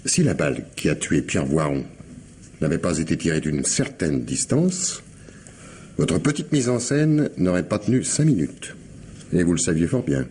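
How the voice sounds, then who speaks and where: Deep, swooping, sardonic police commissioner. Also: male voice, big pitch swings, normal speed, a little mellow, a little hiss or hum. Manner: wry, insistent, theatrically hesitant questioning. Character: shrewd, sardonic male police commissioner interrogating a suspect. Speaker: actor in a radio mystery. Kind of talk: French radio crime drama acting.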